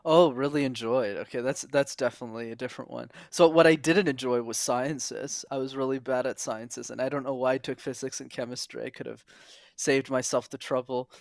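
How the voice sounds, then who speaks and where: A young man from Montreal, a podcast guest, telling his story in an earnest, animated way with quick asides. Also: medium pitch, vocal fry, dry recording, studio quality. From Montreal, Canada. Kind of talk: casual interview.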